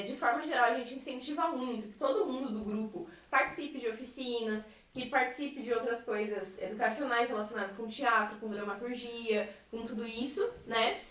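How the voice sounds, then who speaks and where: Echoey, higher-voiced young Brazilian woman. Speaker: member of a science theatre group. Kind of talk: casual podcast conversation. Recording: echoey room, distant from microphone.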